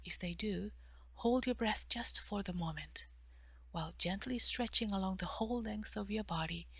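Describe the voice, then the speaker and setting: A woman guiding a mindful stretching meditation in a soft, breathy voice, giving gentle, measured instructions with pauses and phrases that often fade toward a whisper. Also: mid-range voice, no room sound. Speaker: meditation guide, therapist.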